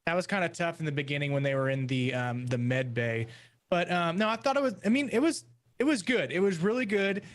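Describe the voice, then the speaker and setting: A man on a podcast panel giving a casual review in a halting way, pausing often. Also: fairly high pitch.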